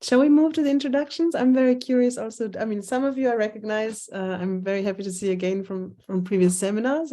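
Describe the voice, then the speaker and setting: A woman lecturer leading an online seminar over a video call, thinking aloud with halting restarts. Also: medium pitch, dark tone.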